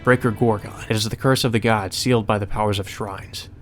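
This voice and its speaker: Low-pitched guy doing a let's play, reading story text out loud n commenting casually, music playing behind him. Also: steady tone, a little fast. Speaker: let's play narrator reading a visual novel aloud.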